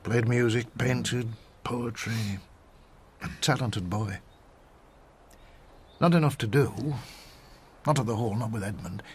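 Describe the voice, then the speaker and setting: A male actor plays a retired Victorian country doctor in a radio play, a wistful and courteous widower. His voice is medium in pitch and he speaks reflectively, pausing as he goes. There is noticeable background noise. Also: natural voice. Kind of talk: radio drama dialogue.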